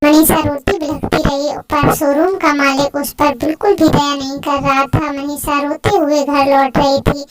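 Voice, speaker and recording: A woman narrates a cartoon story from a script. Her voice is very high, with clear music playing behind her. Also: rough recording.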